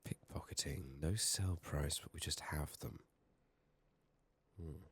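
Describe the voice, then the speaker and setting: A man giving soft-spoken, sometimes whispered ASMR game commentary, muttering to himself in short, unhurried phrases close to the microphone. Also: extremely low pitch, husky. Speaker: video game player and streamer.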